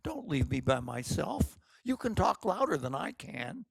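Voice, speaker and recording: A man's voice: on the high side, big pitch swings, a little slow, vocal fry, slightly rough, no room sound, pitched above the performer's own voice, exaggerated voice. A man voicing a timid, frightened older woman who pleads anxiously.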